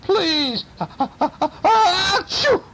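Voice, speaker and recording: A man voicing a panicky storybook burglar who yells, pleads and sneezes in an exaggerated way. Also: very high-pitched, expressive, takes it slowly, pitched above the performer's own voice, full character voice, low-quality recording. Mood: pleading.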